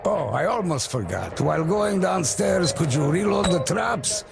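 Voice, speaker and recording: An easygoing survivor in a post-war video game. His fairly high voice swings widely in pitch and has a gravelly grain. He is friendly and chatty. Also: very animated, measured pace, over music, exaggerated voice. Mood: calm, helpful. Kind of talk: video game cutscene acting.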